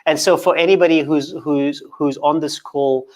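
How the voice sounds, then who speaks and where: A man's voice: on the high side, fairly even intonation, normal speed, a little mellow. A man who grew up in Kenya and speaks English as a second language, a guest on a video call chat, often repeating words and restarting with a stutter. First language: not English. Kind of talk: casual video call interview.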